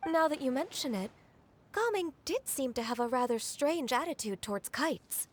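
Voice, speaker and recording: A woman playing a sweet, polite young woman in a fantasy game, speaking gently and courteously. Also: sits high, animated, normal speed, slight background noise. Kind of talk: fantasy game cutscene acting.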